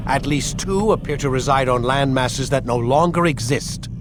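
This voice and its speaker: An actor playing a cool, matter-of-fact underling of a villain in a video game. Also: male voice, mid-range voice, moderate pace, background noise, lightly acted. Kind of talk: dramatic video game cutscene acting.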